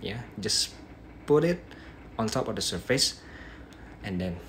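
A young man with an Indonesian accent and a slightly sore throat, teaching dentistry on a phone livestream, halting, with many "yeah" tags. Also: lowish voice, lively intonation, gravelly, fairly bright tone, a little hiss or hum. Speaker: dentist teaching a live demo. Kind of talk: live-stream teaching, reading viewer questions. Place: small bedroom at night. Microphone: phone held close at arm's length.

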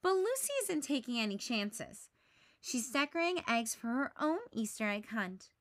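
A woman reading a children's story aloud in an animated, dramatic way. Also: fairly high pitch, normal speed, dry recording, clean studio sound. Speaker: storybook reader. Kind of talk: expressive children's read-aloud narration.

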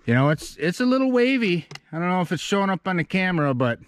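Folksy man, higher-pitched voice. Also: big pitch swings, fast, no echo. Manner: folksy, self-deprecating, rambling. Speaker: homesteader vlogging his barn build. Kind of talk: casual walk-through chat. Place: outdoors at a rural building site and inside the wooden building.

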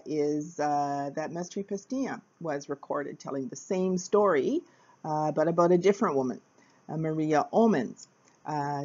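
A woman narrating a short capoeira lesson video in a casual, halting way, with frequent uh fillers between phrases. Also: low voice, muffled recording. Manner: hesitant, many uh fillers, plain. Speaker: capoeira teacher.

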